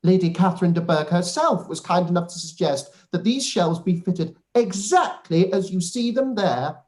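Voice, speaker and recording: A man's voice: high-pitched, lively intonation, normal speed, darkish tone. A man playing a pompous, obsequious clergyman in a radio play, wordy and effusive. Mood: gushing, boastful. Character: pompous, fawning male clergyman cousin, self-satisfied and obsequious. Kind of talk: radio play live read.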